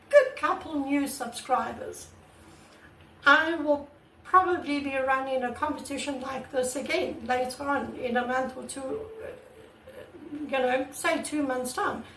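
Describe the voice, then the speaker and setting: A woman artist with a croaky voice, talking casually and earnestly to her channel's viewers, recorded on a camera mic without a proper microphone. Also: high-pitched, a bit bright, recorded from a distance, slight background noise. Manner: earnest and repetitive, many restarts. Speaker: artist vlogger. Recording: echoey room, away from the mic.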